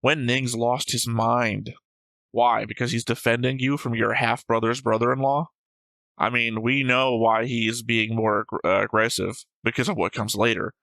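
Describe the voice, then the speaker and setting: A man's voice: medium pitch, level tone, moderate pace, sharp tone, no room sound, rough recording. A man co-hosting a casual discussion show, going through notes in a dry, analytical way. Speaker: reaction show co-host.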